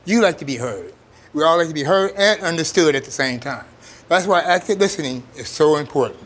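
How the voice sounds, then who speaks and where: A man's voice: on the high side, lively intonation, fairly quick. A man speaking as a trainer in an informal workshop, plain-spoken and repeating phrases for emphasis. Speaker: trainer, program coordinator.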